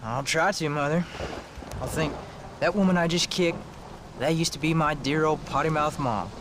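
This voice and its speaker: A prim, snide frontier son, played by a young man, with a dry, deadpan delivery. Noise is clear behind him. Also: a little high, moderate pace, natural voice. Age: young adult.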